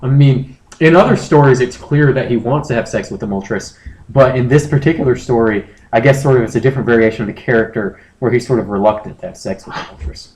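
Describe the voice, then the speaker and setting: A man's voice: medium pitch, fast, darkish tone. A man co-hosting a comedy podcast, moving between casual banter and mock-dramatic narration. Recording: roomy, echoey sound.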